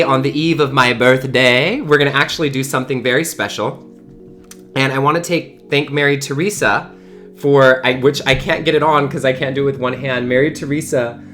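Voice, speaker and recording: A man in his early thirties speaks to his online community as its host, in an average-pitched voice. His delivery is informal and gushing, with words repeated for emphasis. Faint music sits behind him. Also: a bit bright. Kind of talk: informal livestream talk to a community.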